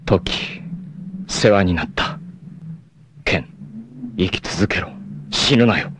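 An actor playing a proud, self-sacrificing long-haired martial artist in a 1980s anime, speaking solemnly and dramatically with fierce shouts in battle. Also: male voice, sits low, slow, slight background noise. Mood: tender farewell.

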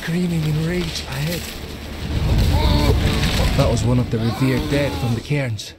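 An actor playing a dutiful, stubborn young warrior in a video game, earnest and restrained. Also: male voice, mid-range voice, unhurried, over loud music, lightly acted. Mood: wary. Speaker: game character.

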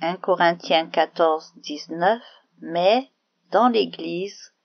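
A woman's voice: fairly low pitch, no room sound, lo-fi sound. A woman telling and explaining Bible stories to children in a simple, explanatory way, as if speaking to one child. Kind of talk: narrated children's Bible lesson with prayer.

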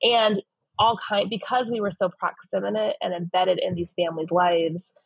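Husky, gravelly-voiced woman from Phoenix. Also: medium pitch, level tone, normal speed, no room sound, poor audio. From West Phoenix, United States. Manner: earnest, many fillers and restarts. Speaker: ministry leader, guest. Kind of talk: reflective interview answers. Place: home office, indoors.

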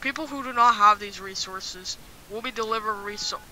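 A man playing an emotional radio newscaster facing doomsday, earnest and halting, in an amateur acted news bulletin with hiss. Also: sits high, natural voice. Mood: grave.

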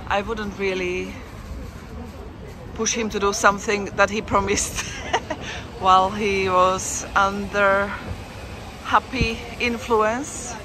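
A woman talks slowly and chattily as she walks an outdoor market, rambling, correcting herself and laughing now and then. Her handheld camera mic catches plenty of traffic and street bustle around her. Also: mid-range voice, steady tone. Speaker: vlogger on a walking tour. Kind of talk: casual chatty commentary while walking.

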